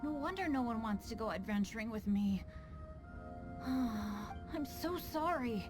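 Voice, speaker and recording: A woman's voice: fairly high pitch, animated, measured pace, music in the background. A woman voicing an earnest, unlucky teenage boy adventurer in an anime-style game, with a boyish voice. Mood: guilty, downcast.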